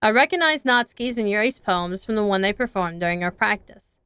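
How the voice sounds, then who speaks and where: A young woman streamer reads a visual novel aloud in an expressive, earnest way into a close microphone. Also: medium pitch, fairly quick, clean tone, dry recording.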